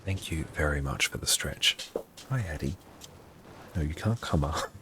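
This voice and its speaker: A man livestreaming his gameplay in a hushed, half-whispered voice, often thanking his viewers. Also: extremely low pitch, lively intonation, a little slow, noisy background.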